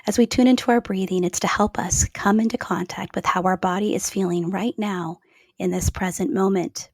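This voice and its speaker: A woman leading a guided meditation in a slow, soothing, soft-spoken way with gentle pauses. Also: medium pitch, fairly even intonation, dry recording, studio quality. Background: new-age music.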